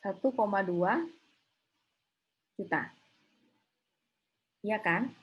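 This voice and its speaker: A woman's voice: mid-range voice, extremely slow, lo-fi sound. A woman giving an informal online accounting lecture, thinking aloud and often checking with her students through short questions. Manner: conversational, often seeking confirmation with short tag questions. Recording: slightly rough online class recording.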